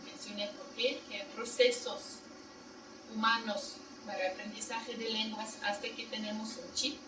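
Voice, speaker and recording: A woman's voice: medium pitch, level tone, unhurried. A woman lecturing non-native, in an echoey room. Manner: explains in plain steps, checks listeners with "¿no?" and "¿sí?"; Spanish as a second language. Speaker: seminar lecturer. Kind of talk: university seminar lecture. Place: seminar room. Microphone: distant room mic. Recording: echoey room, far mic, loud noise.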